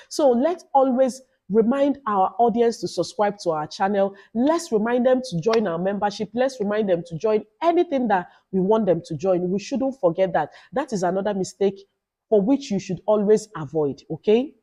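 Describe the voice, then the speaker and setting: A woman with a Nigerian accent talks to viewers in a loud but mellow, dark-toned voice at a medium pitch. She gives tips in an informal vlog style, stressing her points by repeating them and checking in with short tag questions. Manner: emphatic, instructive, repeats phrases and adds "okay?". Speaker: YouTuber giving advice to new creators. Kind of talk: informal advice vlog with Nigerian Pidgin asides.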